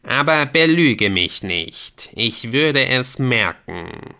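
Guy reading as a creepy hooded game messenger, slow and commanding, portentous, forceful edge, audiobook style. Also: mid-range voice, big pitch swings, gravelly, voice pushed up, full character voice. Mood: threatening. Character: menacing hooded yellow-eyed messenger of a dark game world. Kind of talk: dramatic audiobook character voice.

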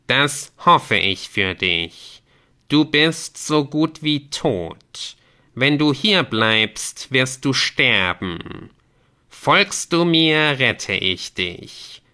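A man voicing a menacing hooded messenger from a dark game world, speaking in a slow, commanding, portentous way for an audiobook. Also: mid-range voice, expressive, smooth, voice pushed up, exaggerated voice. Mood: ominous. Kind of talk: dramatic audiobook character voice.

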